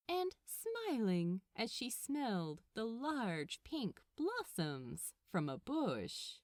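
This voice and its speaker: Very animated woman storyteller, dry close sound. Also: fairly high pitch, dry recording. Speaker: narrator of a fan fiction audiobook. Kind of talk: expressive scripted story narration.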